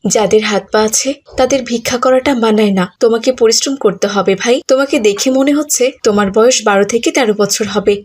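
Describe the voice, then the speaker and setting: A woman's voice: a little high, quick pace, few pauses, natural voice. A woman playing a stern young college student in a cartoon, scolding and admonishing. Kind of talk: cartoon voice acting.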